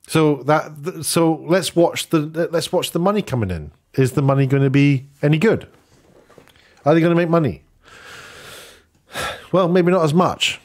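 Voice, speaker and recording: A man's voice: medium pitch, a little mellow. A man giving casual, rambling opinion commentary as an online video host, often posing rhetorical questions, slightly sniffly.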